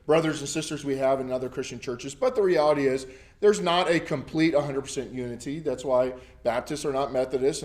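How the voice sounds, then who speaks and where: A man's voice: mid-range voice, darkish tone. Scratchy-voiced man leading a Bible study. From United States. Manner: rambling, many ums and restarts. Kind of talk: casual teaching to a small group. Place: church classroom. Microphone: camera recording.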